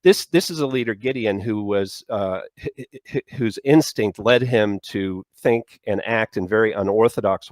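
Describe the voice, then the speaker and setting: A male talk show host with a somewhat low voice, recorded dry with no room sound. He talks in rambling, stop-start phrases, stumbling through fillers before landing his point. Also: no echo. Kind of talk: casual talk show conversation.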